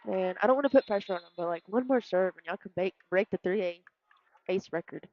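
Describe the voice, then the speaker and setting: A woman's voice: medium pitch, fast talker, muffled recording. A woman co-commentating live volleyball in casual, clipped, touch-by-touch phrases.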